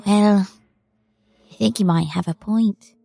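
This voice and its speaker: A woman playing a stern, precocious little girl in an audio horror drama, speaking in a formal, measured way. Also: medium pitch, vocal fry, dark tone. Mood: cool, accusing. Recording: music under the voice.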